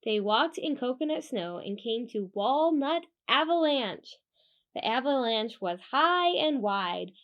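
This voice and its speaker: A woman reading a children's storybook aloud in an expressive, emphatic way, with informal asides. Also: on the high side, slow, lo-fi sound. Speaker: children's storybook reader and host. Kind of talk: animated children's read-aloud with casual asides.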